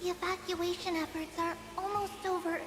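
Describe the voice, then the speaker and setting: A woman playing a small, childlike girl in a video game, earnest, kindly and gentle, with a cute delivery. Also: very high voice, level tone, normal speed. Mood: earnest, concerned. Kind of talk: scripted video game drama. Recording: echoey reverb over music.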